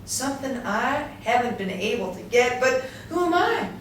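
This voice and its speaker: Echoey, animated woman acting a fussy society clubwoman. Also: on the high side, normal speed. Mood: excited. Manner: gushing, fretful, given to cooing and correcting. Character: fussy, eager society clubwoman, retired school teacher. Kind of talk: staged script reading. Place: small room, seated reading from pages. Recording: echoey room, distant mic, faint noise.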